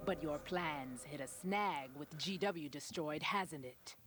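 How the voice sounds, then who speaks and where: A man's voice: fairly high pitch, slow, fairly bright tone. An actor voicing a defiant male rival leader in video game cutscene dialogue, asking clipped, probing questions. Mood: wary. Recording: game cutscene with music underneath.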